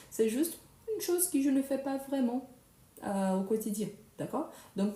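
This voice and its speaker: A young woman whose first language is Brazilian Portuguese, teaching a live French lesson slowly and repeating phrases for learners. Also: fairly high pitch, dark tone, roomy sound.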